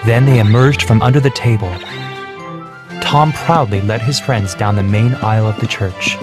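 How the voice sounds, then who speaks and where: A man's voice: on the low side, moderate pace. A man reading scripted narration for a children's storybook, with music behind him. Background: background music.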